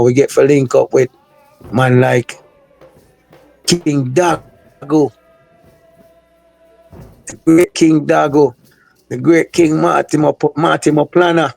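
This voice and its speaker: Gravelly-voiced man in rambling interview talk. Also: on the high side, a little mellow, dry recording. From United States. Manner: rambling, heavy on Jamaican-style filler phrases. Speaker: reggae artist guest. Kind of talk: casual interview talk with sung snippets.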